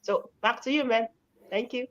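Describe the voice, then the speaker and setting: A woman's voice: fairly high pitch, moderate pace. A woman from Nigeria with a Nigerian accent, speaking politely and deferentially as a livestream guest. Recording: muffled, band-limited online stream audio.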